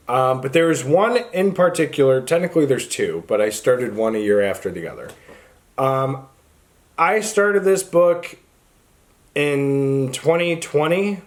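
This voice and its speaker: A man's voice: medium pitch, darkish tone. A man hosting a book channel, talking casually to the camera in an informal, rambling way. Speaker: book review channel host.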